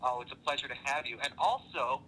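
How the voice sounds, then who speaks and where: A man hosting a radio talk show, interviewing guests in a relaxed way, with plenty of "uh" and "um" between words. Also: mid-range voice, a little fast, slight background noise, rough recording. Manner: filler-heavy, friendly, conversational.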